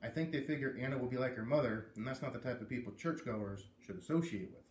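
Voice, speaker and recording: A man's voice: mid-range voice, fast, slight background noise, close to an everyday voice. A man voicing a hurt, defensive young schoolgirl in a storybook read-aloud, plaintive and blurting as she confides. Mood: confiding. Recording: roomy echo, distant microphone.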